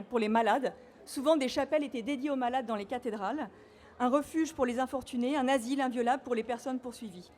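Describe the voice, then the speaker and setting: A woman from Normandy giving a measured, solemn official speech at a ceremony. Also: high-pitched, darkish tone, slight background noise. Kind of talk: formal read speech.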